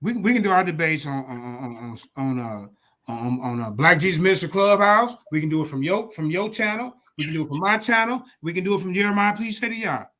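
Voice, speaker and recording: A man hosting a heated casual chat, loud, profane and confrontational. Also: a little high, expressive, a little fast.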